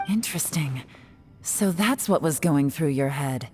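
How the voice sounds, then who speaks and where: Low-voiced, composed, shrewd game woman. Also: lively intonation, darkish tone, husky, faint music behind, exaggerated voice. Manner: measured, matter-of-fact. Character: cool, composed, shrewd adult woman investigator. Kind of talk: video game story acting.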